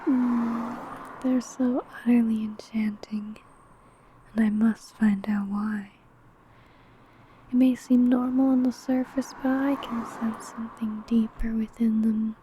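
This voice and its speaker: A woman playing an obsessive, predatory female vampire who poses as a sweet coworker, in a soft, breathy ASMR roleplay. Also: fairly high pitch, fairly even intonation, slow pace, a little hiss or hum. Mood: enchanted, longing. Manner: soft, breathy, whispery murmuring.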